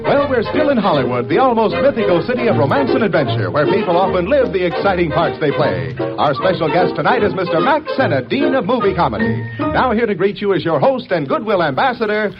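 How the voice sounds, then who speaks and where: A man with a high, lively voice announces an old radio variety show in a smooth, showy style. The sound is dull and lo-fi, and loud music fills the background. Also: brisk, few pauses.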